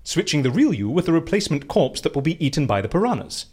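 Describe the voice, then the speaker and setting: Audio drama role: excitable eccentric fixer, theatrical and relishing, hugely animated delivery. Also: male voice, a little high, husky. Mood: scheming. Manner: theatrical, relishing, drawn-out musing. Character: eccentric, excitable male boss of a death-faking agency.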